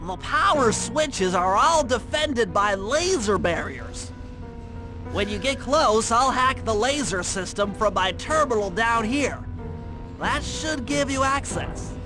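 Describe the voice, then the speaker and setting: A man's voice: very high-pitched, expressive, slow, heavily acted, poor audio. A man voicing a nerdy young turtle tech genius in a video game, with a thin nasal voice and a precise, wordy, anxious manner. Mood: focused briefing. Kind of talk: video game cartoon voice acting. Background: music.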